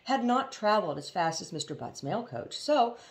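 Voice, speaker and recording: A woman reading a novel aloud as expressive storybook narration. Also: medium pitch, moderate pace. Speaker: audiobook reader.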